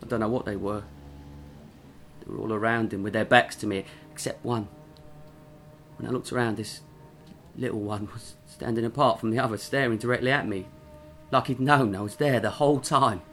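A man's voice: lowish voice, steady tone, a bit bright, a little hiss or hum. An actor playing a nervous drifter who stammers and halts as he recounts something horrifying. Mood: nervous, shaken. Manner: halting, stammering, frightened.

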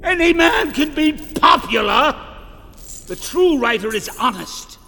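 Radio play: a man voicing a grave, tormented writer's ghost, slow and theatrical, with a long hall echo. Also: extremely high pitch, lively intonation, gravelly, faint music behind, exaggerated voice. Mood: bitter. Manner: slow, solemn and theatrical, swinging from loud outbursts to hushed whispers. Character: doomed ghost of a male writer, mournful and grave. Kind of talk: radio drama acting. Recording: long hall reverb, faint noise.

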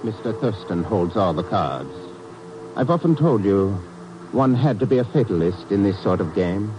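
An actor playing a calm, suave, fatalistic villain in a 1950s radio drama. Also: male voice, fairly low pitch, music in the background. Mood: resigned. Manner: calm, unhurried, philosophical. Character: resigned, suave male villain, calmly fatalistic. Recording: noisy old radio recording.

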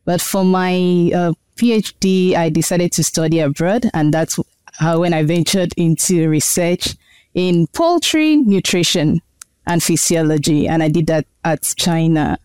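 Earnest woman researcher, smooth voice. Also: lowish voice, a little slow, darkish tone, no room sound. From Nigeria. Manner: earnest, explanatory, thoughtful. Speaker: guest researcher. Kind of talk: interview answers.

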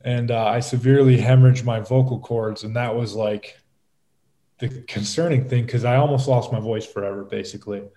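A young man talking casually as an interview guest, relaxed and rambling, with plenty of fillers and restarts. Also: mid-range voice, fairly quick. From Minnesota. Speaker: guest, pro football player.

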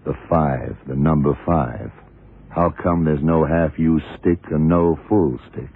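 A shrewd police detective in a vintage radio thriller. His voice is very deep and a little rough, and he probes deliberately, step by step. The sound is tinny and narrow, like an old broadcast. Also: exaggerated voice. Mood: analytical. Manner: deliberate, probing, clipped questioning. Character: shrewd, methodical male police detective in charge. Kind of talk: 1960s-70s radio drama acting.

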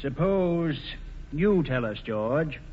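A man acting a grave, measured small-town lawyer in a 1940s radio drama, formal and deliberate. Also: on the high side, animated, slight background noise. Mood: grave, accusing. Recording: muffled old radio broadcast.

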